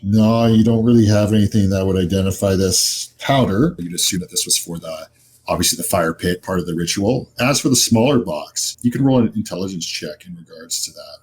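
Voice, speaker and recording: A man's voice: low voice, brisk, darkish tone, a little hiss or hum. A man narrating as game master of a tabletop horror roleplaying game, describing scenes in a conversational, improvised way. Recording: music behind the voice. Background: music.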